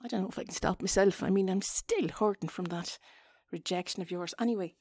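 A woman with an Irish accent playing a flustered, chatty wife in an intimate audio roleplay, halting and coaxing, often dropping into a breathy whisper. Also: medium pitch, a little mellow, no echo, close to an everyday voice. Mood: nervous, teasing.

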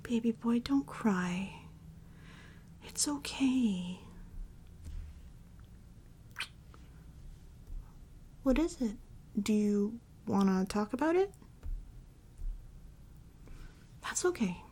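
A woman playing a tender, devoted girlfriend and mommy dom in an intimate ASMR roleplay, breathy and often whispering as she soothes her partner. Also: a little high, lively intonation, slight background noise. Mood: gentle concern. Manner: soft, soothing, slow and affectionate.

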